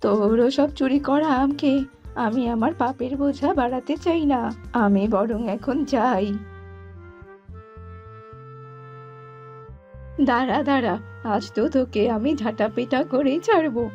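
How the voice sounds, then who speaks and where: A woman's voice: high-pitched, moderate pace, a little mellow, muffled recording, soft background music, natural voice. A woman voicing an exasperated cartoon mother bird, nagging and full of strict threats. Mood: scolding.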